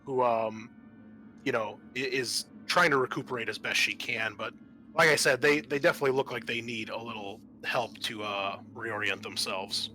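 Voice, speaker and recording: A man narrating a tabletop roleplaying game as game master, improvising in a halting way. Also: medium pitch, pushed and bright, faint music behind. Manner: halting, searching for words.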